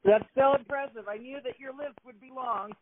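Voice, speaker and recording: A man's voice: very high voice, fairly even intonation, fairly quick. A male interviewer asking questions in an upbeat, encouraging way, over a tinny phone-like line. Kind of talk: casual interview.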